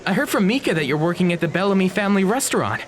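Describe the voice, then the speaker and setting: An actor playing an awkward, polite teenage high school boy in an audio drama, speaking hesitantly with small stammers. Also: very animated, rapid-fire. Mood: hesitant, friendly. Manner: hesitant, stammering, nervous chuckles.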